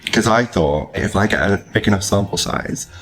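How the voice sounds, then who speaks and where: A man's voice: lowish voice, moderate pace, a bit bright. A British man in his thirties hosting a podcast in a casual, chatty, enthusiastic and self-deprecating way. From United Kingdom. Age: 30s. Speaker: podcast host. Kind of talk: casual chat.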